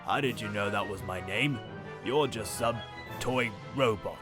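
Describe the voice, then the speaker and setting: An actor playing a sly, greedy animal villain in an audio drama. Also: male voice, lowish voice, a little slow, over music, natural voice. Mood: menacing, gloating.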